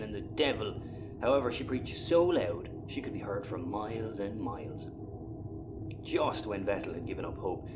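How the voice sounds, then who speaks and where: Man acting a low-voiced, grumpy spaceship captain. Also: muffled recording, noisy background, lightly acted. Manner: exasperated, sardonic. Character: grumpy, sarcastic, foul-mouthed male spaceship captain. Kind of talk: audio sci-fi comedy acting.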